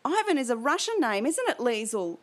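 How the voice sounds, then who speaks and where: A woman's voice: a little high, very animated, moderate pace. A woman reading aloud and voicing an anxious young German boy who pleads in a wobbly voice. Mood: worried.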